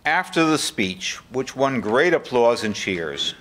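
Older man as an eyewitness telling the story in a radio play, medium pitch, measured. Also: sing-song, a bit bright. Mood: recounting. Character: male eyewitness recounting a political triumph. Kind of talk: scripted narration in a staged radio play. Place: indoor hall with seated audience. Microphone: lectern microphone.

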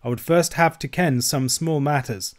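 A man voicing a bold, sly Highlander with a Scottish accent, taunting and bargaining forcefully in an audiobook. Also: moderate pace, close to an everyday voice. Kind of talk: audiobook character voice.

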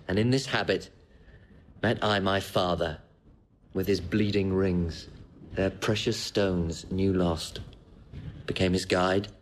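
An actor playing a noble, sorrowful wronged son, measured and solemn, in a classical Shakespearean audio drama. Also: male voice, sits low, slight background noise.

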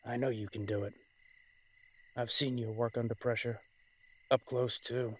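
A man acts out a male dragon-shifter crime boss in an audio roleplay. His medium-pitched voice is authoritative and measured. He sounds weary yet firmly in command, delivering a dramatic monologue. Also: level tone, natural voice. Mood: urgent, firm.

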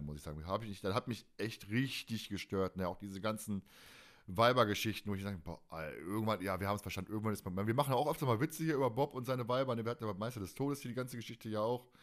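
Guy podcast host, slightly low voice, blunt and chatty. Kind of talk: casual fan podcast discussion.

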